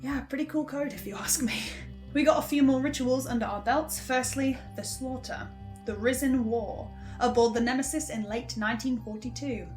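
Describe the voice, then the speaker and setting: Woman as halting archivist recording notes, higher female voice, music underneath, stammering audio drama delivery. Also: close to an everyday voice. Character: hesitant, hungry marked archivist recording a podcast reaction.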